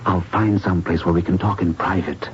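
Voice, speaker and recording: An earnest, gallant male doctor played by an actor with a low voice, in a 1970s radio drama. The vintage recording is dull, with faint noise behind him. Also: steady tone, moderate pace, lightly acted. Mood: urgent. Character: urgent, gallant male doctor with a secret warning.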